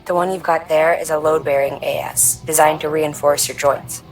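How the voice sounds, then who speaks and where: An actress playing a confident, encouraging technical adviser, explaining equipment in a video game tutorial. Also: female voice, sits low, fairly even intonation, moderate pace, faint music behind, low-quality recording. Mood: encouraging, assured. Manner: explanatory, assured.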